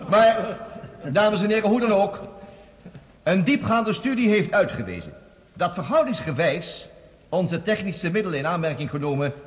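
A reverberant hall carries a male scientist addressing an assembly. His voice is on the high side and very animated. He is formal, oratorical and wryly humorous, in radio drama style. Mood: wry, rhetorical. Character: witty, eloquent male scientist pitching to an assembly. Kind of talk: Dutch radio play acting.

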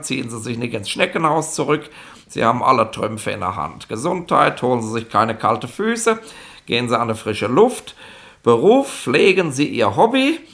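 A gravelly police inspector from a 1960s comic radio play. He is a self-important petty bureaucrat whose pitch swings widely as he rambles, lectures and keeps tagging on little questions. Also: a bit bright. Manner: rambling, colloquial, condescending explainer with constant tag questions.

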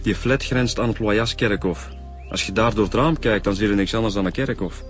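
An actor playing a matter-of-fact detective with a Flemish accent, setting out evidence in a radio drama. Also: male voice, on the low side, brisk, soft background music, close to an everyday voice. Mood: insistent.

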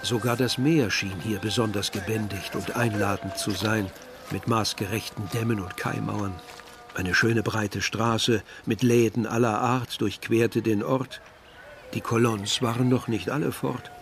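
Ein Schauspieler spielt den nachdenklichen jungen Dörfler, der in einem literarischen Hörspiel von seiner Reise erzählt. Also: male voice, fairly low pitch, steady tone, measured pace, over music. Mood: observant. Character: weary, observant young male villager narrating a journey. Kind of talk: literary radio drama narration.

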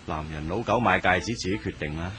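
A man's voice: sits low, fast, bright, forceful tone, a little hiss or hum. A man acting as a wistful young man in a radio drama, speaking and narrating in Hong Kong Cantonese. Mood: startled, irritated.

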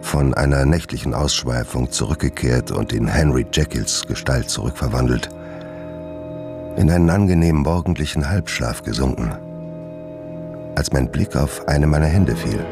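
An actor playing a tormented gentleman doctor in a radio drama, giving a grave, formal, literary confession. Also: rumbling low, moderate pace. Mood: grave, haunted. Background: music.

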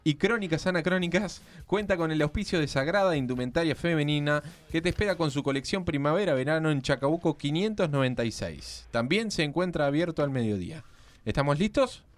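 High-voiced Argentine male radio host. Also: expressive, fairly quick. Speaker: radio host and guest actor. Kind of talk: live radio presenting. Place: radio studio.

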